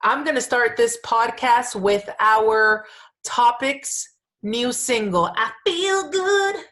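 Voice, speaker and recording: A woman's voice: on the high side, lively intonation, slow, fairly bright tone. A woman hosting a casual pop culture podcast, gushing and emphatic, with informal slang and phrases repeated for emphasis. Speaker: podcast host.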